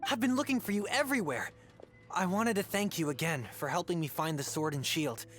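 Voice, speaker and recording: An actor playing an eager, earnest young male adventurer with a bright, earnest way of talking. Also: fairly high pitch, lively intonation, fairly quick, soft background music.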